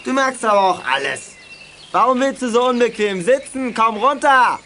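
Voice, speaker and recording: A man's voice: very high-pitched, animated, normal speed, pushed and bright, a little hiss or hum, full character voice. A young man playing a brash, mocking, bossy teenage bully in a German children's radio play. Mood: mocking, threatening.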